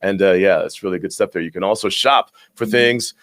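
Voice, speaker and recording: A man with a raspy voice hosting a live local morning show, chatting casually through the weather with frequent restarts. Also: low-pitched, a little fast, a bit bright. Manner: chatty, rambling delivery with restarts.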